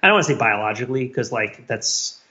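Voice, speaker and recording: A man's voice: mid-range voice, fast, lo-fi sound. A man co-hosting a podcast in casual discussion, talking in a rambling, wry way and often questioning his own points. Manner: rambling, self-questioning, wryly animated argument. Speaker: podcast co-host.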